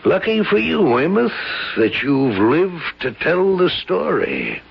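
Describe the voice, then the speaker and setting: Husky, grave ghost-story sage. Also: male voice, fairly high pitch, wildly swooping pitch, takes it slowly, heavily acted. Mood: solemn, portentous. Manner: solemn, portentous. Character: grave man solemnly explaining a ghostly curse. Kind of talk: old radio drama acting. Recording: dull old radio recording.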